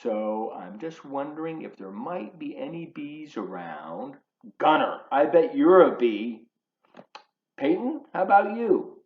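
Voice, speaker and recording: Slow-paced man reading to kids, lively pitch. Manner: playful, addresses children directly with questions. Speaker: volunteer children's book reader. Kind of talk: read-aloud of a picture book for young children, with asides. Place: living room at home. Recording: roomy, slightly dull home video sound.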